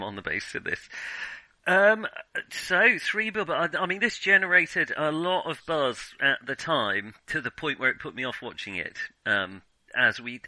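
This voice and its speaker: A man's voice: mid-range voice, sharp tone, dry recording, rough recording. A man hosting a podcast in casual film discussion, talking in a hesitant, self-deprecating way. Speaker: podcast host.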